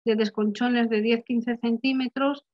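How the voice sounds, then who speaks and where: Halting young woman presenting slides. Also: fairly high pitch, fairly even intonation. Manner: halting, many restarts and filler sounds. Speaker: researcher presenting at a conference. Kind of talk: online conference talk over slides. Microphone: webcam video call. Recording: slightly muffled video-call audio.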